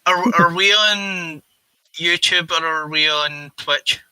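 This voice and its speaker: A man's voice: fairly high pitch, fairly even intonation, slow, sharp tone, clear, resonant tone, no echo, low-quality recording. A man in casual livestream conversation, opinionated and often repeating his phrases as he goes. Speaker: co-talker on a livestream. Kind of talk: casual political chat.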